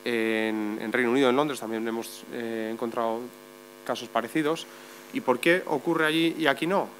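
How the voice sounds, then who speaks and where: A man's voice: mid-range voice, fairly bright tone, rough recording. A man speaking on a debate panel, explaining step by step and posing rhetorical questions. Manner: explanatory, builds points with lists and rhetorical questions. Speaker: journalist on a panel. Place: meeting room. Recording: faint mains hum.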